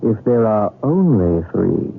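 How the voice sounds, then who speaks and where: An actor playing a grave, cautious confidant in an old-time radio drama. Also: male voice, lowish voice, sing-song, slow, gravelly, exaggerated voice. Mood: guarded. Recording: noisy, tinny old radio broadcast.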